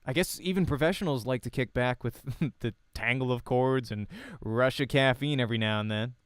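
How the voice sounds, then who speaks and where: Clear-voiced man narrating a visual novel. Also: medium pitch, moderate pace, no echo, studio quality. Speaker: narrator and voice actor.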